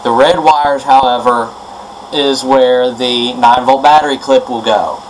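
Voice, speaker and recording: Casting: male DIY presenter, mid-pitched, informal and halting, with faint noise on an amateur camera recording. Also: steady tone. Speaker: presenter of a DIY electronics tutorial. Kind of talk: informal hands-on tutorial. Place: indoors at a wooden workbench. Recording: noisy amateur camera audio.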